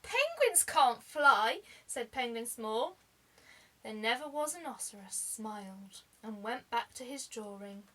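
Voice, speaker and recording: A woman reading a children's story aloud like a teacher, expressively, with deliberate dramatic emphasis. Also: fairly high pitch, fairly bright tone, rough recording.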